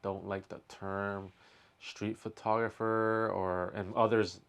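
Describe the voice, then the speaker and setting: Male reaction host, lowish pitch, casual conversational commentary. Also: flat delivery, takes it slowly, a bit bright, clean tone.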